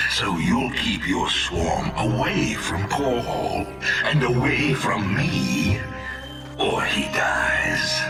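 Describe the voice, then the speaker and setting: Nasty gloating emperor, deep voice, real slow and drawn out, whispery threats. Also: male voice, exaggerated voice. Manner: taunting, slips into menacing whispers. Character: gloating male villainous emperor, cruel and mocking. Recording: echoey and distant over loud music.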